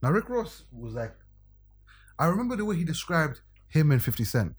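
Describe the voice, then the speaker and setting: A man, talking with a husky voice that swings widely in pitch. He's animated and emphatic, punching each point and checking in with his listeners as he goes. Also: normal speed. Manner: animated, emphatic, tag questions. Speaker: podcast co-host. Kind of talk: casual banter.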